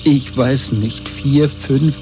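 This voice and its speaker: An actor playing a worn-down, desperate but stubbornly honest prisoner in a 1970s German radio play, giving terse, halting, strained answers. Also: male voice, medium pitch, steady tone, over music. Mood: desperate, confused.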